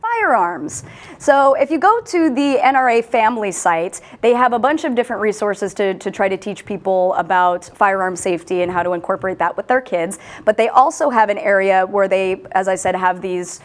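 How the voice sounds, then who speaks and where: A woman hosting a casual online news discussion, talking in an animated way and reading quotes aloud with a lift in her voice. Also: mid-range voice, normal speed. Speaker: host.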